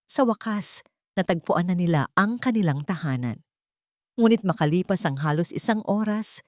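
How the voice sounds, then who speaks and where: Woman storyteller for melodramatic fiction: slightly low voice, scripted narration, very little room echo. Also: a little mellow, studio quality.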